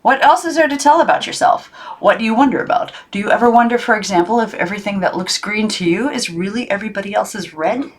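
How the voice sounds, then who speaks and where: A woman's voice: mid-range voice, lively intonation, quick pace, few pauses, natural voice. A woman reading the part of a playful, teasing older great-uncle in a children's storybook, exclamatory and formally polite. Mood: curious, whimsical.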